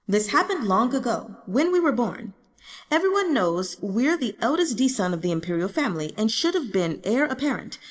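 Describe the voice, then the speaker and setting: An embittered young emperor, acted out by a woman, speaks in long hall reverb. The delivery is formal, solemn and regal, swinging widely in pitch and sitting fairly high, as dramatic audiobook dialogue. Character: embittered, self-mocking young male emperor, regal and burdened.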